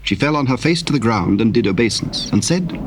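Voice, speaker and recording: A man with a husky voice narrating scripture in a solemn, formal storytelling style with archaic language. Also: medium pitch, lively intonation, brisk, slight background noise.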